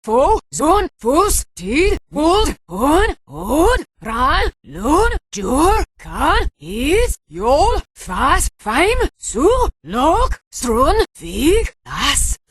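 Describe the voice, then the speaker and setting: A fierce female high elf hurls out words of power one at a time for a fantasy video game. Her voice is high and leaps wildly in pitch. The delivery is forceful and extremely slow, each word standing alone. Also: wildly swooping pitch, full character voice. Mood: fierce, commanding. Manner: forceful, isolated exclaimed words.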